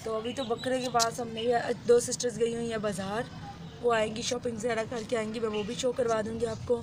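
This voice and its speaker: A young woman with a hoarse throat, chatting casually to her viewers in a vlog and mixing in English words, recorded on a phone at arm's length outdoors. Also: fairly high pitch, little pitch movement, fairly quick. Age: young adult. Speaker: vlogger. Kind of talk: casual vlog chat to camera. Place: outdoors in a rural courtyard with goats. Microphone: phone held at arm's length.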